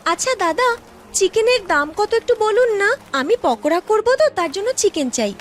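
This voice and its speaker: A woman's voice: extremely high pitch, expressive, normal speed, slight background noise. A woman voicing a kind, eager young orphan woman who sells fritters, in an earnest sing-song for a cartoon story. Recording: music behind the voice.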